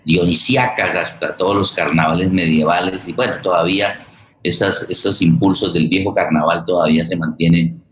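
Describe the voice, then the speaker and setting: A Colombian man in middle age speaks as a host in a low-pitched voice. He proceeds informally and haltingly, doubling back on phrases while searching for the next word. The recording has a clearly roomy echo around his voice. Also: a bit bright. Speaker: host introducing a guest. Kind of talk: informal spoken introduction on a live forum.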